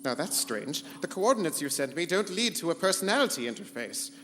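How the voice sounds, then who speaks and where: A man's voice: fairly high pitch, big pitch swings, a little fast, a bit bright. A man playing a pompous, scheming, morality-free ship's computer in a live comedic sci-fi radio play. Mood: wounded, accusing. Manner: pompous, theatrical, formal wording. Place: convention hall stage before live audience. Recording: echoey live hall, humming background noise.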